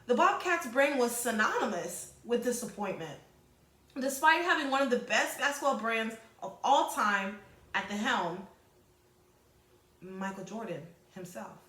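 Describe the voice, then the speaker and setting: A woman teacher leading a read-aloud lesson, informally addressing pupils with explanatory asides and slightly halting reading. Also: on the high side, expressive, pushed and bright. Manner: halting, self-correcting reading. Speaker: sixth-grade teacher. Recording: echoey room.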